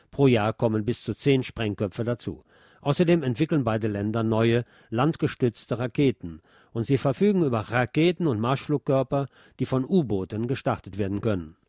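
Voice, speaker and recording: A man's voice: medium pitch, normal speed, darkish tone, no room sound, studio quality. A man reading a scripted political commentary as a presenter.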